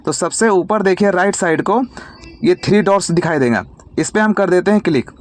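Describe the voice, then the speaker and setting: A man's voice: high-pitched, moderate pace. An Indian man hosting an informal tech tutorial, explaining each step in a repetitive way.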